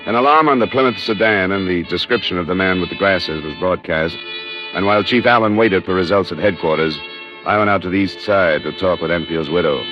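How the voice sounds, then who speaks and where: An actor playing a hard-boiled American investigator, matter-of-fact and methodical, in a radio noir drama. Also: male voice, sits low, brisk, lightly acted. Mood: terse, factual. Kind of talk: scripted first-person radio noir narration and dialogue. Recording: dull vintage radio recording, music.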